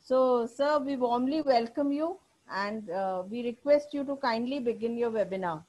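A woman's voice: a little high, normal speed, a little mellow, dull audio. A woman with an Indian English accent, formally introducing a guest speaker as a webinar host, polite with fillers.

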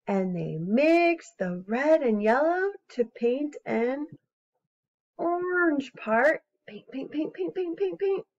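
A woman in her thirties with an expressive, singsong manner, talking to young children as an educator. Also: high voice, slow pace. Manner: expressive, singsong, encouraging young listeners to join in. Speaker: children's educator and story reader. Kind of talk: animated read-aloud and casual craft demonstration for young children. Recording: slightly dull webcam recording.

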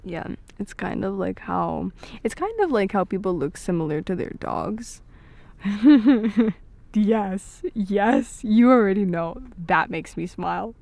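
A woman playing an affectionate, playful young girlfriend in an intimate, soft-spoken ASMR roleplay, with hesitant pauses. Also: mid-range voice, lively intonation, creaky, no echo. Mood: playful, amused. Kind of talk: intimate ASMR roleplay, scripted but natural.

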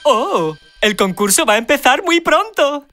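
A theatrical, fussy cartoon hairdresser with a Castilian Spanish accent. His high voice swoops up and down, with music behind. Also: very animated, measured pace, bright, forceful tone, exaggerated voice. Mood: busy, concerned. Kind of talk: children's cartoon dubbing.

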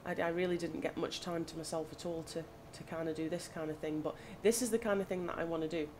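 Croaky-voiced woman chatting while gaming. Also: low-pitched, fast talker. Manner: chatty, thinking aloud, relaxed. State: slightly croaky from hay fever. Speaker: gaming channel host. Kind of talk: casual gameplay commentary. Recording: audible background noise.

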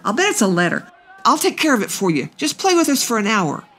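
A woman's voice: fairly high pitch, sing-song, fast talker, fairly bright tone, voice pushed up. A woman voicing a cruel, demanding big schoolboy with a taunting, pushy manner in a children's storybook. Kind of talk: children's religious storybook reading.

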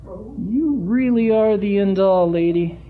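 A woman's voice: medium pitch, slow pace, phone-quality sound, a little hiss or hum, lightly acted. A woman playing a cheeky, touchy young delivery boy in a comic 1940s-style audio drama, slangy and wisecracking. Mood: wounded, huffy.

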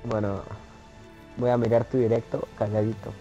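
A man chatting on voice chat with a friend, making mocking, deadpan jabs. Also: medium pitch, normal speed, dull audio, soft background music. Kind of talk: casual joking banter.

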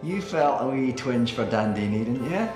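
A man's voice: medium pitch, expressive, normal speed, music in the background, close to an everyday voice. A man playing a wry, coaxing pantomime character over a video call from home. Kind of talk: comic pantomime acting. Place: room at home. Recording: roomy video-call sound.

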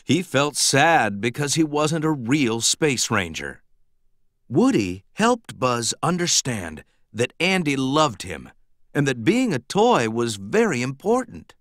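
A man reading a children's storybook aloud in an expressive, dramatic, emphatic storytelling manner. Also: medium pitch, takes it slowly, no room sound, clean studio sound. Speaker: storybook narrator.

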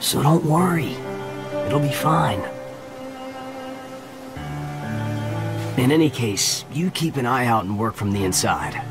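A man's voice: mid-range voice, lively intonation, moderate pace, music in the background, natural voice. An actor playing an easygoing, teasing yet resolute young male ninja in an anime dub, casual and earnest. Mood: reassuring.

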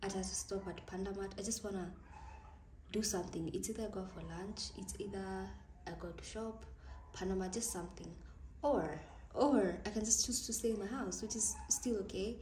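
A young Kenyan woman with a raspy morning voice rambles through a relaxed vlog in her kitchen, often sinking into a soft near-whisper. The room bounces her voice back with a clear echo, and a faint background hiss sits under it. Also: medium pitch, moderate pace. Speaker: vlogger. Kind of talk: casual vlog chat.

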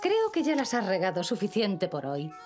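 A woman's voice: medium pitch, huge pitch swings, normal speed, darkish tone. Casting: patient cartoon mother, Castilian Spanish, affectionate, hugely varied intonation, music behind. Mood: patient, reassuring. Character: patient, affectionate mother of two small children. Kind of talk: children's cartoon dub acting.

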